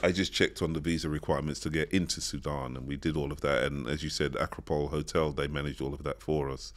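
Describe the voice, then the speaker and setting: British male podcast co-host, deep register, animated storyteller. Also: fast talker. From Britain. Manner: animated storytelling, acts out quoted lines. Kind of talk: casual chat. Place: studio.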